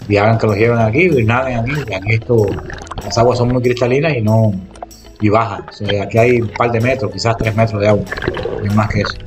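A man with a slightly low voice rattles through a casual voice-over of his own diving trip, talking quickly to his viewers. He restarts his sentences often and wanders from point to point. Loud music plays under everything he says. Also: steady tone. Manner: rambling, restarts often, addresses viewers directly. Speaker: spearfishing vlogger.

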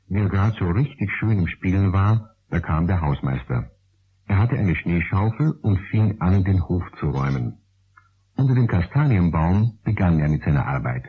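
A low-voiced man narrates a children's radio play with lively swings in pitch. The old recording is narrow and phone-like. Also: breathy edge, heavily acted. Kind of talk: scripted storytelling narration.

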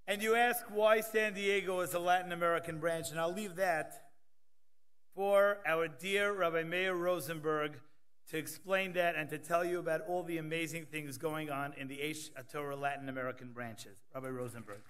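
A man in his thirties emcees a conference from a podium in a large hall. His voice is a little higher than most men's. He reads introductions from his notes and drops in joking asides. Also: fairly even intonation, moderate pace, a bit bright. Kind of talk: scripted introductions with light jokes. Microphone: podium microphone.

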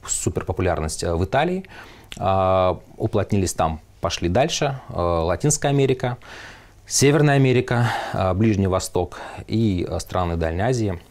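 A man in his thirties with a very low voice answers interview questions. He explains conversationally, laying out his points one after another. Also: animated, moderate pace, darkish tone. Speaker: company representative interviewee. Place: merchandise showroom, standing.

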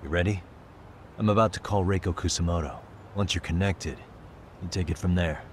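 A man's voice: deep voice, brisk, slight background noise, lightly acted. An actor playing a cool, decisive detective in his thirties, terse and matter-of-fact, in a video game drama. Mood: businesslike.

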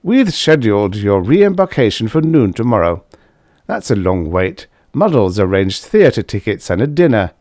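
A man voicing a calm, wry male diplomat with an understated, dry manner. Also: fairly low pitch, normal speed, dry recording.

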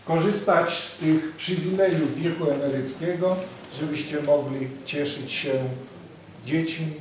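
A man in his fifties giving a solemn, deliberate ceremonial speech as a town mayor, often repeating phrases. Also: mid-range voice. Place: large hall at a public ceremony. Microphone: distant camera microphone. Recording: echoey hall from far off, noisy.

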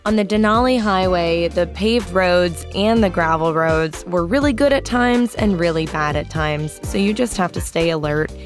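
A woman's voice: fairly low pitch, moderate pace, few pauses, soft background music. A young adult woman, chatting casually and narrating as a travel vlogger. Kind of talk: casual vlog chat and narration. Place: inside a camper van and outdoors.

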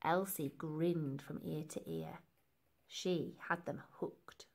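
A woman reading a children's book aloud in an animated way, with dramatic emphasis for young listeners. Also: sits low, slow, gravelly. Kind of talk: expressive storybook narration.

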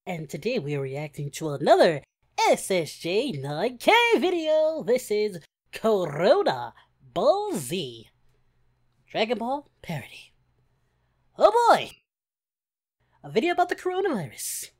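A woman's voice: medium pitch, very animated, measured pace, long pauses, darkish tone. Woman as a playful, swooping anime-hero host. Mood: upbeat. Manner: exclamatory, giggly, mock-dramatic, slangy. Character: cheerful young wolf-eared Goku, male anime hero hosting. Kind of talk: playful reaction video commentary.

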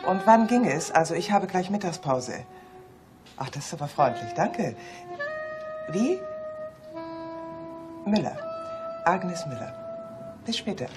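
A sensible, dry-witted woman in a radio play. She speaks politely, with dry rebuffs. Music plays clearly behind her. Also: on the low side, big pitch swings, slow pace, pauses often. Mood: polite, hesitant. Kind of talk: German radio drama acting.

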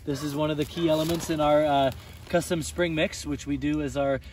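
A man's voice: on the high side, fairly even intonation, fairly quick, noisy background. A young man, a farmer, giving an earnest on-site tour outdoors on a snowy field, explaining with frequent fillers. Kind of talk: on-site farm tour interview.

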